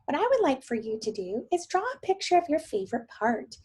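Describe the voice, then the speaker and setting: A woman teacher reading a story aloud to young children, expressive and often thinking aloud with questions for her listeners. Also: sits high, brisk.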